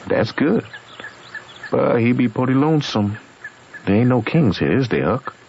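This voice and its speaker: A man's voice: mid-range voice, sing-song, a little slow, gravelly, darkish tone. A man playing a stubborn, homespun Southern man in an old radio drama, arguing his own logic in an emphatic, folksy way with a Southern US accent. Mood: curious. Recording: muffled, noisy vintage radio recording.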